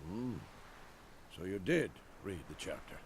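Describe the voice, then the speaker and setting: An actor playing a stern but fond old monster-hunting mentor in a video game, gravelly and lecturing. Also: male voice, deep voice, very animated, measured pace, slight background noise, full character voice. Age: older. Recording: game audio with background ambience.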